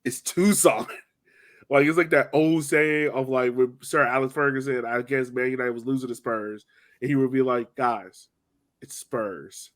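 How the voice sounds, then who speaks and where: A man hosting a sports podcast, recapping a match in casual, slangy, animated storytelling. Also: medium pitch, measured pace. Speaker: podcast host.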